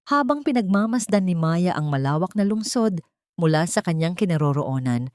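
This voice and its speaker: A woman with a Filipino accent narrating a scripted dramatic story in a solemn, sentimental, measured way. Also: on the low side, lively intonation, a little mellow, no room sound, clean studio sound.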